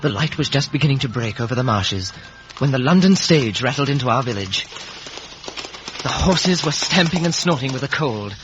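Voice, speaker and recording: A man's voice: mid-range voice, lively intonation, moderate pace, music in the background, close to an everyday voice. Actor as an earnest young man, muffled recording. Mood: reflective narration. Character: earnest, polite, hesitant young man with hopes. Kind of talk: 1950 radio drama acting and narration. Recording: muffled, hissy vintage radio recording.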